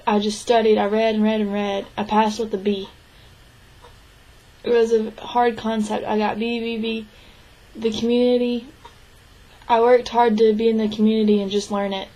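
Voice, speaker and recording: Woman interpreting in a flat, higher voice. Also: rough recording. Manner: even, measured delivery with frequent restarts. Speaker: sign language interpreter voicing a deaf man's answers. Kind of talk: spoken interpretation of a signed interview.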